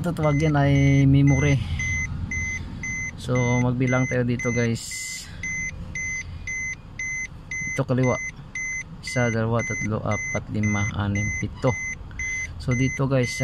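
A man with a Filipino accent giving a casual hands-on tutorial, repeatedly addressing his viewers. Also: medium pitch. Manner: repetitive, addresses viewers often. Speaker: car repair vlogger. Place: inside a parked car. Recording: noisy car interior with beeps.

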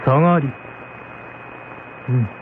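A man's voice: mid-range voice, takes it slowly, lightly acted. A man voicing a curious, commanding young shogun in a folk tale drama, with lordly, questioning, archaic speech. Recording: thin phone-like band with hum.

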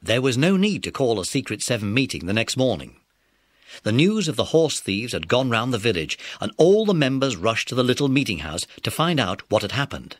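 A man's voice: medium pitch, sing-song, fairly quick, dry recording. A man narrating a scripted children's story.

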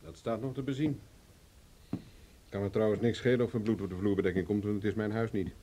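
An actor playing a cocky, wry, hard-drinking investigator in a radio play, speaking in a dry, self-assured way. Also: male voice, fairly low pitch, steady tone, fast talker, mellow, lightly acted. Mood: cool, threatening. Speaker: actor in the lead role. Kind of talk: Dutch radio play acting. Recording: dull, lo-fi old radio recording.

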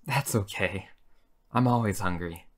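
A man playing a gentle, timid, clingy horseman of famine in a comedy roleplay, soft and hesitant, with a pleading way of talking. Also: low-pitched, a little slow. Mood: mild. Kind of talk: boyfriend ASMR comedy roleplay.

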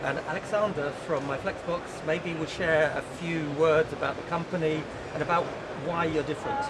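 A man's voice: fairly high pitch, steady tone, moderate pace, heavy background noise. A middle-aged man hosting interviews at a trade fair, talking in a conversational, upbeat way in a busy exhibition hall. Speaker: host interviewer.

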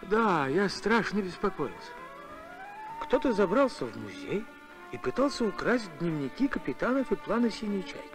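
A man's voice: high-pitched, very animated, normal speed, over music, low-quality recording. A man voicing a fussy, anxious doctor in a cartoon, speaking in an agitated way.

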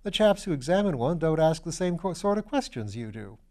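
A man voicing a flustered, slow-witted young gentleman, exclaiming in muddled fashion, in a storybook reading. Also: on the high side, very animated, voice pushed up. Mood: befuddled, excited.

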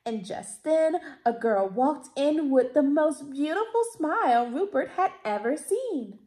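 Woman reading kids storytime, high voice, slow and expressive, bit echoey room. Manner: deliberate, theatrical emphasis. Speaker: library storytime reader. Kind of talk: expressive children's picture-book read-aloud. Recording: roomy indoor echo.

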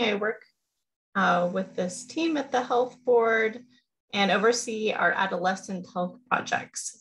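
Gravelly-voiced woman on a video call. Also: medium pitch, low-quality recording. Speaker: principal investigator. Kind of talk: casual self-introduction. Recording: faint background noise.

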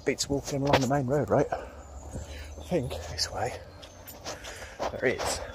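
A man's voice: mid-range voice, slow. A man vlogging casually as he walks through woods, filming on a handheld phone and thinking aloud. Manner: rambling, relaxed, thinking aloud. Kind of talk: casual walking commentary. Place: woodland outdoors. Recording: outdoor phone audio with loud noise. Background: traffic noise.

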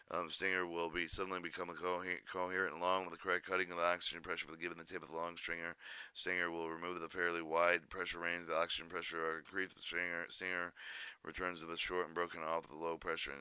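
A man reads dense instructional text in a flat, very low voice. His delivery is halting, with frequent stumbles and repeated words. The sound is clean and dry, close up. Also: clean tone, no echo. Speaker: channel narrator reading a training manual aloud. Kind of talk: reading technical text aloud.

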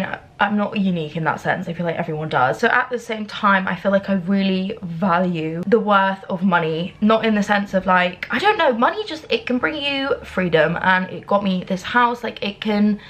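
A woman's voice: mid-range voice, expressive, normal speed, hardly any pauses, a bit bright. A British woman in her twenties, chatting candidly to camera while doing her makeup at home, with lots of "like" fillers. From Britain. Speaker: beauty vlogger. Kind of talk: casual talking-to-camera vlog. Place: bedroom at home, indoors.

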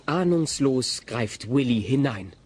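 A man narrating a German radio play as a scripted storyteller, with an expressive, wry delivery. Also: medium pitch, slow, a little hiss or hum.

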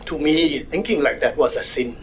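An older man with a Thai accent, speaking at a desk microphone as a guest speaker at a university public forum, wry and measured with self-deprecating humor. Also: fairly high pitch, a little hiss or hum. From Thailand. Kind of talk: public lecture. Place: university conference room.

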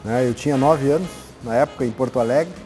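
A man answering interview questions in a measured, hesitant way. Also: mid-range voice, warm tone, poor audio. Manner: measured, hesitant with many fillers. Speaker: guest. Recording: faint music under the voice.

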